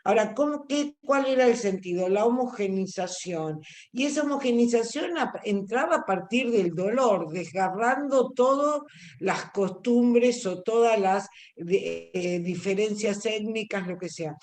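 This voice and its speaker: A woman's voice: mid-range voice, animated, a little slow, a little mellow. A woman teaching an online class, explaining at length and checking that students follow. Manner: explanatory, asks if understood.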